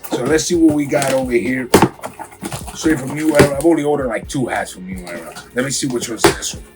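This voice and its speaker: A raspy-voiced man with a cold, from Miami, hyping up a casual clothing unboxing for his viewers. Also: medium pitch, brisk, over loud music. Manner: hyped, slangy, talks straight to viewers. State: has a cold. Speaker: sneaker and clothing vlogger. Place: home room.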